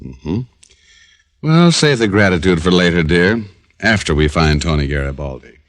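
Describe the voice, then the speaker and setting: A man's voice: low-pitched, animated, normal speed, noisy background. An actor playing a sardonic, flirtatious American private detective who narrates his own case in 1940s radio drama style. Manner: breezy, sardonic. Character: wisecracking, flirtatious hard-boiled male private detective. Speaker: detective and first-person narrator. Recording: old radio recording with music.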